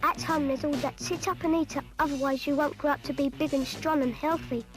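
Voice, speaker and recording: A very high, young English child's voice, acted by a woman, tells family tales in a public information film. The delivery is chatty and matter-of-fact, cheekily exasperated with adults, with music playing behind. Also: fairly even intonation, fairly quick, close to an everyday voice. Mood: wry, chatty. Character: young British schoolchild, cheeky, exasperated by grown-ups.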